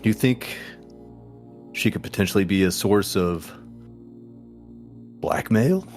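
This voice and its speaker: A man's voice: fairly low pitch, expressive, slow, darkish tone, soft background music. A man playing a smug, power-hungry schemer in a tabletop game, talking in a pompous, patronizing, rhetorical way. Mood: smooth, condescending.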